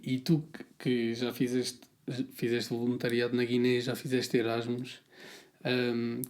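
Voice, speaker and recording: Man, laid-back podcast host, warm dark tone, loose rambling delivery. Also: medium pitch. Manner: relaxed, rambling, with filler words. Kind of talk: casual conversational interview.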